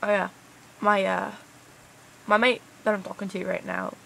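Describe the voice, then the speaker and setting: A woman's voice: mid-range voice, flat delivery, moderate pace, gravelly, fairly bright tone, low-quality recording. A young woman from Canada rambles casually in a put-on British accent, sounding tired, with crude slang and a hesitant manner.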